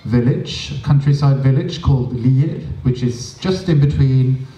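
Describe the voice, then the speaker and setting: Norwegian male guest, mellow voice, chatty and dry, heard in a roomy space from a distance. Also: medium pitch, unhurried. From Norway. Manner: chatty, dry humor, many fillers. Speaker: interview guest, drag performer. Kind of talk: casual storytelling interview. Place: small stage with audience, indoors. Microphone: handheld mic.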